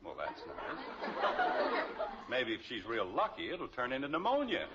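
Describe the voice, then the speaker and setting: A man's voice: medium pitch, wildly swooping pitch, moderate pace, a loud crowd. An actor playing an exasperated, sarcastic middle-aged American father in a mid-century radio sitcom. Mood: dry, sarcastic. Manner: dry, sarcastic. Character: exasperated, wisecracking father of a suburban family. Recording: dull, noisy old radio recording.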